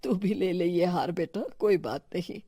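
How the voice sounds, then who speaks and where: Mellow, gentle old father-in-law voiced by a woman. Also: lowish voice, normal speed, no echo, studio quality. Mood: resigned, gentle. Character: mild, giving elderly father-in-law, a widower.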